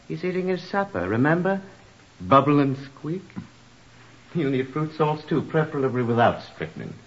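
Droll, swooping boozer. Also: male voice, a little high, sing-song, moderate pace, fairly bright tone. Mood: macabre relish. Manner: droll, wordy, mock-literary. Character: flippant, garrulous, macabre-humoured man fond of drink. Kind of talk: old-time radio drama acting. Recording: dull, muffled old radio recording.

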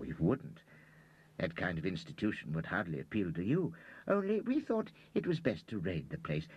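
A man voicing a timid, fussy older gentleman who talks in a hesitant, prim, preachy way. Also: low voice, husky, muffled recording, exaggerated voice.

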